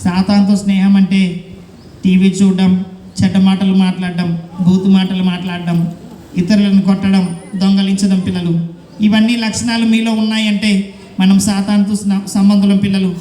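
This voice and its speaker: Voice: medium pitch, little pitch movement, normal speed, dark tone, close to an everyday voice. A puppeteer voicing an earnest, obedient, repentant boy puppet who preaches simply to children in a church puppet show. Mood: earnest, preachy. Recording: echoey hall, background noise.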